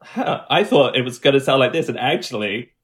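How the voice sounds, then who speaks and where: A man with an English accent and a mid-pitched voice talks casually as an interview guest. He works as a dialect coach and explains in an informal way, often giving examples. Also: lively intonation, brisk. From English Midlands.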